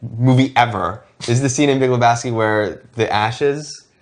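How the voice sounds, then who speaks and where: A man's voice: mid-range voice, unhurried. Opinionated man chatting in commentary. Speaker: show co-host. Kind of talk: casual commentary.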